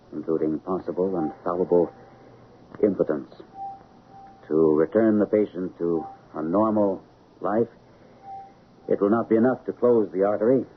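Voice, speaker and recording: An actor playing an authoritative chief surgeon in an old radio drama, giving clipped commands and measured, formal explanations. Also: male voice, on the low side, husky. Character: composed, authoritative male chief surgeon lecturing while operating. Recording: narrow, noisy old radio recording.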